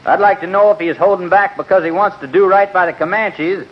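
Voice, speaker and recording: A man's voice: high voice, fairly even intonation, brisk, lightly acted. An actor playing an impatient, hot-headed cattle rancher in an old radio western, a man with an American accent and confrontational, folksy speech. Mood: angry, rousing. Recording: muffled, hissy vintage radio recording.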